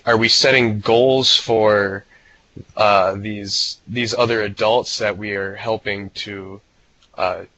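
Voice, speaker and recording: A man's voice: on the low side, sharp tone. A man casually asking questions as an invitee on a conference call, phrasing them tentatively. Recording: muffled, noisy conference call line.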